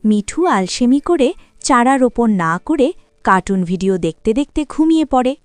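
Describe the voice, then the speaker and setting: A woman's voice: a little high, animated, normal speed, dry recording, faint music behind. Woman narrating a children's story, dry sound.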